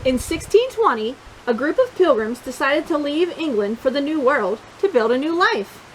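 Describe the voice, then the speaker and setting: A woman reading a picture book aloud for young children, expressive and encouraging, pausing to put questions to her listeners. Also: sits high, slight background noise, poor audio. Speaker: children's storytime reader.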